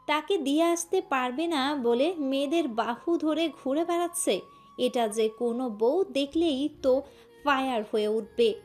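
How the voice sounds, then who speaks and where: A woman narrates a romantic audio story from a script. Her voice sits high in pitch. Faint music plays under the narration. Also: darkish tone.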